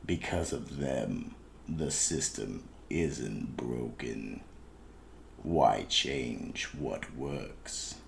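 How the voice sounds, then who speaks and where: An actor playing an ominous, wry horror anthology host, delivering scripted narration. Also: male voice, extremely low pitch, drawn-out words, gravelly, slight background noise, exaggerated voice. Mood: brooding.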